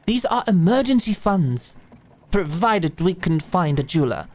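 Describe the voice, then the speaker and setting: A woman's voice: fairly low pitch, very animated, measured pace, a little mellow. A woman voicing a pompous, prickly aristocratic doctor in a medieval audio drama, with formal, precise speech. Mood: haughty, defensive. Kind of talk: medieval fantasy audio roleplay acting.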